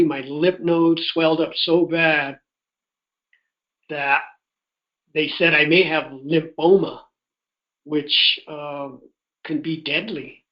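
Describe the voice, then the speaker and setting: A man in middle age or beyond speaks in a somewhat high voice over dull, lo-fi conference-call audio. He tells his personal story informally, in a chatty, folksy way, voicing bits of remembered conversation as he goes. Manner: animated retelling with quoted dialogue. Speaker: host telling his personal health testimony.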